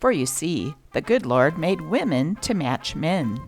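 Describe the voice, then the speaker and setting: A woman hosting a show that reads aloud from old newspapers, with an expressive, varied delivery. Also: unhurried, faint music behind. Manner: expressive reading with lively pitch. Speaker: channel host reading old newspapers.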